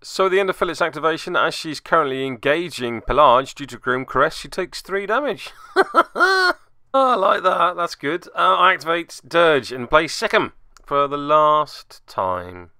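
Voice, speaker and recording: A man's voice: on the high side, sing-song, moderate pace, bright, forceful tone, no room sound, clean studio sound. A man hosting and narrating a tabletop game move by move, with theatrical flourishes and wry asides. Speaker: podcast host and player.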